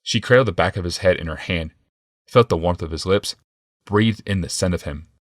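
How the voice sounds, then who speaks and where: Low-voiced man narrating fantasy audiobook. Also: moderate pace, no echo, clean studio sound. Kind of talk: dramatic scripted narration of fantasy fiction.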